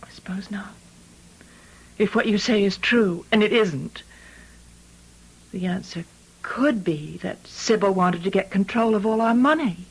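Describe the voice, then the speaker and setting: An actress playing an anxious, disbelieving woman in an old-time radio mystery, halting and breathy. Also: mid-range voice, poor audio. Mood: incredulous. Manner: halting, breaking into whispers. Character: anxious, disbelieving wealthy woman, dry-witted, shaken.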